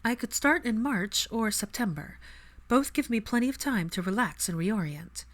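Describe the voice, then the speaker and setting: A woman's voice: a little high, lively intonation, moderate pace, voice pushed up. A woman voicing an earnest, busy-minded young woman in an audiobook, eagerly explaining herself. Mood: defensive.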